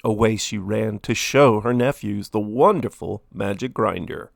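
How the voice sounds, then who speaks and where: A man reading a children's story aloud in an expressive way, with deliberate, dramatic emphasis. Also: lowish voice, vocal fry, no echo, studio quality. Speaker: storybook reader, host.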